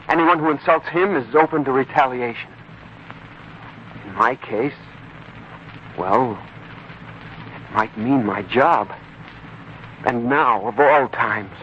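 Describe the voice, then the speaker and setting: An actor playing a worried, respectful young farm worker about to marry, earnest in a 1940s radio drama manner. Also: male voice, medium pitch, close to an everyday voice. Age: young adult. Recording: muffled, noisy 1940s radio recording.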